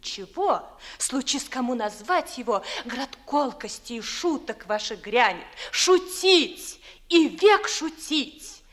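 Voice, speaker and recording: Proud young noblewoman, cool, reproachful, high pitched, animated melody, theatrical 1950s radio verse acting. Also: slow pace, heavily acted. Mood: indignant. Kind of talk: 1950s Russian classical stage acting in verse.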